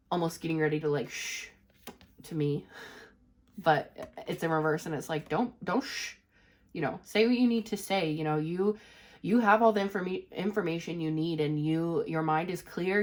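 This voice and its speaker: A woman with a stuffy, congested voice from a cold, giving a casual, rambling tarot reading to her viewers with lots of fillers. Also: low voice. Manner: chatty, rambling, many "you know" and "um" fillers. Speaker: tarot reader.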